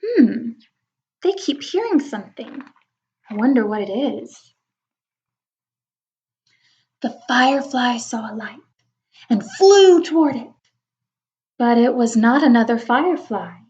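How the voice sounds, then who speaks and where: Female storyteller for young kids, high voice, expressive and wondering, chatty asides, slightly muffled lo-fi sound. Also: slow pace, pauses often. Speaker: read-aloud storyteller. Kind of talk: children's picture book read-aloud with chatty asides. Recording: dull lo-fi recording.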